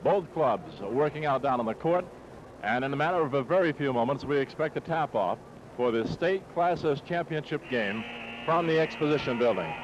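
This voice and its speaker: A man's voice: mid-range voice, animated, normal speed, dull audio, background noise. A man calling live basketball play-by-play as a radio sportscaster, in clipped announcer phrasing, on a 1960s AM broadcast. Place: courtside in an exposition hall.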